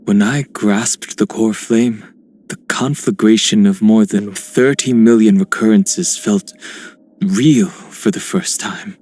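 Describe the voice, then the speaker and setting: Slow, grave guardian. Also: male voice, lowish voice, fairly even intonation, a little mellow. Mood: solemn respect. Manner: measured, grave. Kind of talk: scripted game character voicelines.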